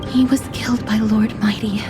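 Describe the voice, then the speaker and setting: An actress playing a composed, courteous, observant young traveler in a video game, with measured formal speech. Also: female voice, a little high, fairly even intonation, over music, natural voice. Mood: reflective. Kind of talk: video game character acting.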